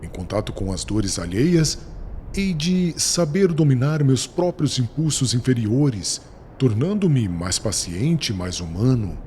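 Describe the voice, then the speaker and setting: A man voicing a humble, earnest young disciple who speaks hushed and hesitant in an audiobook. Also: mid-range voice, sing-song, a little slow, a little hiss or hum, pitched below the performer's own voice. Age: young adult. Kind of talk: audiobook dialogue reading.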